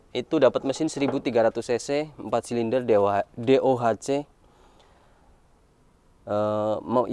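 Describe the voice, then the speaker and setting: A man's voice: on the low side, darkish tone, a little hiss or hum. A man giving a casual walkaround car review, explaining things informally point by point.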